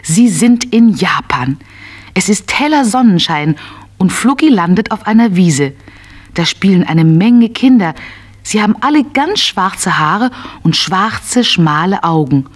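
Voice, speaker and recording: Female storyteller for children's audio play. Mid-range voice, measured phrasing. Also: mid-range voice, lively intonation. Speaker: narrator. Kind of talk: scripted children's story narration.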